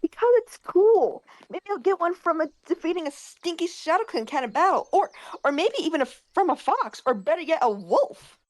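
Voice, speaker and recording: A woman playing an eager, boastful little warrior kitten in a roleplay, with excitable childlike delivery. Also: extremely high pitch, moderate pace, few pauses, no room sound, low-quality recording. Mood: excited.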